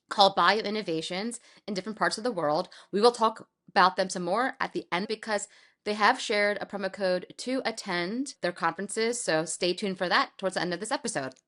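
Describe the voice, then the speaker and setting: A woman with a mid-range voice co-hosts a podcast in a lively, enthusiastic way. She chats with the guest and asks questions. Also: medium pitch, fairly quick. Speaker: podcast co-host. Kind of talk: podcast hosting.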